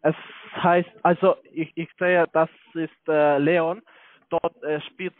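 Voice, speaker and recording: A man with a foreign accent speaks in a fairly high voice over a thin, tinny phone line. He works through a sales pitch as a call-centre salesman, haltingly searching for his words as a non-native speaker. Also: flat delivery, no room sound. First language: not German. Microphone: telephone.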